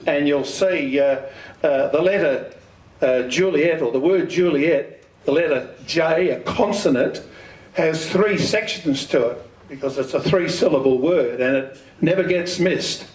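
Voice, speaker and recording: Older man, fairly high-pitched voice, deliberate emphatic teaching, roomy echo. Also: a little mellow. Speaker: speaker giving an amateur radio talk. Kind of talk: instructional lecture with questions to the audience. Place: community centre meeting room. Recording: echoey hall, distant microphone.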